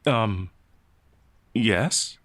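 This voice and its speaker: A man voicing a gentle, confused, ancient werewolf in an ASMR roleplay, soft-spoken and polite, with hesitant stammering. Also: fairly low pitch, lively intonation, no echo, full character voice. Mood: grateful, relieved. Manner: halting, hesitant, bewildered stammering. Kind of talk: ASMR roleplay audio drama.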